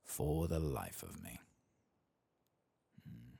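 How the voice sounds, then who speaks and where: A man giving soft-spoken ASMR game commentary, murmuring and often slipping into whispers close to the microphone. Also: a bass voice, very animated, drawn-out words, vocal fry, a little hiss or hum.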